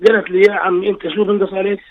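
A man's voice: high-pitched, flat delivery, rapid-fire, a little hiss or hum. A married man with a slightly tired voice calls in to a radio show to share an earnest personal story. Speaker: caller. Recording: dull lo-fi phone line.

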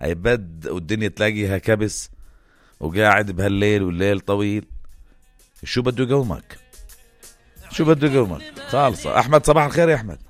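A man's voice: lowish voice, fast talker, long pauses, soft background music. A man with a Jordanian accent hosting a casual radio phone-in, playful, interjecting and often repeating himself. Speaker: radio host taking calls on a morning show.

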